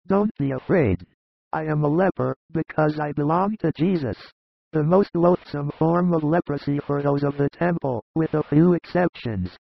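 A man voicing a warm-hearted, plain-spoken male disciple with a fatherly, earnest manner, in an audiobook reading. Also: on the high side, moderate pace, vocal fry, no echo, muffled recording, pitched above the performer's own voice. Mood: pleading. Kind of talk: audiobook reading of a religious narrative.

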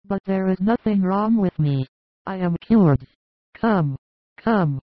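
A man voicing a frail, sick old woman in a storybook reading, her voice pushed high and fretful. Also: lively intonation, slow pace, no room sound. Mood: overjoyed. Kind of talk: audiobook character voice. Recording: dry, tinny phone-like sound.

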